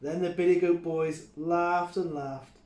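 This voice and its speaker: Man, children's storybook reader, unhurried pace, slightly high pitch, deliberate expressive phrasing, echoey recording. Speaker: early years teacher reading stories. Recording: roomy, echoing sound.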